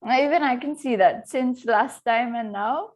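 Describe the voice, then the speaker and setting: A woman with a fairly high voice leads an English practice session over a video call. She asks questions calmly and keeps encouraging her student. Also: measured pace. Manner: encouraging, repeats "okay, very well". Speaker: English tutor.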